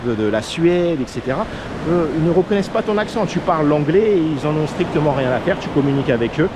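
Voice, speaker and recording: A man from France with a French accent, giving casual advice as a vlogger in an earnest way, with many fillers. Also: a little high. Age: under 40. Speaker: vlogger, expat coach. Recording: outdoor noise of waves and traffic.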